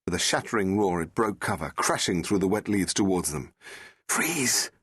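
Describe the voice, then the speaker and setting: A man's voice: deep voice, a little fast, slightly rough, no room sound, clean studio sound. A man reading an audiobook in a dramatic, suspenseful storytelling style. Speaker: audiobook narrator. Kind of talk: dramatic scripted narration.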